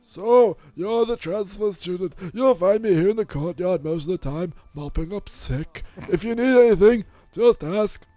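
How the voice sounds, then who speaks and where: A humble, friendly school janitor from a visual novel, played by a woman. The voice is lowered and mellow, with an easy helpfulness. It is recorded dry. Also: moderate pace, hardly any pauses, no echo, soft background music, close to an everyday voice.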